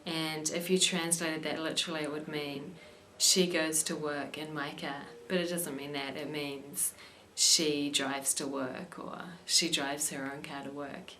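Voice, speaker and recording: A young woman hosting an English lesson show and reading the news in a clear, steady way. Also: sits low, unhurried, a little hiss or hum. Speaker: English teacher and show host.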